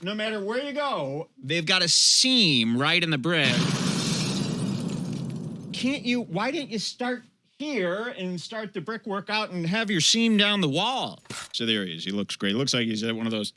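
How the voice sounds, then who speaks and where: A man hosting a comedy show, giving sarcastic, animated commentary over a video and mimicking people mockingly. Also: sits high, unhurried.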